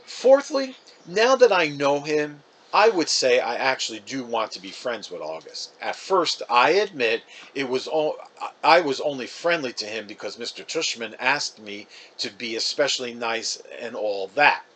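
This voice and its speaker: A man's voice: mid-range voice, sing-song, normal speed, a bit bright. A man reading a storybook as a chatty, wounded, defensive fifth-grade boy, casual and emphatic. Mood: earnest.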